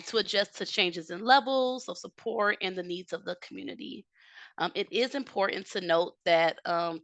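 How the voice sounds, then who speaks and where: A woman presenting an online training session, a bit hoarse from a cold, explaining steadily with frequent "um" fillers. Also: medium pitch, fairly bright tone, no room sound. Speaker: technical assistance presenter. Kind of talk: webinar presentation. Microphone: video call.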